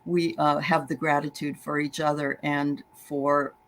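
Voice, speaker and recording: A woman's voice: sits low, little pitch movement, a little slow, slight background noise. A woman co-hosting a live chat, agreeing often and speaking about gratitude. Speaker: co-host. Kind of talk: casual chat on a live stream.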